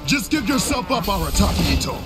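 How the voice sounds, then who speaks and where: An actor playing a stern, commanding soldier barking orders in a video game. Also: male voice, high-pitched, sing-song, a little fast, over loud music. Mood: urgent, stern. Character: stern commanding male soldier chasing an outlaw. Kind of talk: video game character acting.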